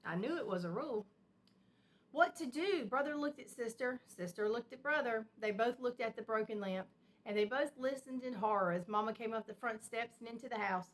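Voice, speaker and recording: A woman reading a children's storybook aloud like a teacher, expressive and emphatic, with simple explanatory asides. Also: on the high side, brisk, rough recording.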